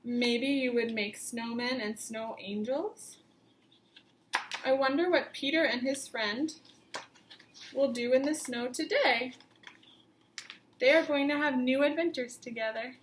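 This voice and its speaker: A woman teacher reading a picture book aloud to young children, with expressive emphasis and simple questions put to the listeners. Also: sits high, a little slow, pauses often, echoey room, a little hiss or hum, low-quality recording. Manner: simple instructive phrasing, questions addressed to children.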